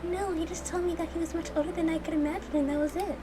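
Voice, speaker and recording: A woman's voice: extremely high pitch, fairly even intonation, quick pace, noisy background, voice pushed up. A woman voicing a polite, curious young game heroine who asks puzzled questions.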